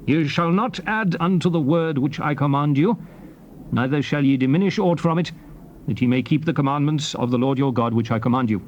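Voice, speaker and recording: A man's voice: a little high, fairly quick, lo-fi sound, close to an everyday voice. An actor playing a solemn prophet and leader exhorting his people, declaiming archaic scripture in a formal, classical stage style. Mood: earnest, exhorting. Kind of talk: dramatized scripture reading, classical stage delivery. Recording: noisy old cassette tape recording.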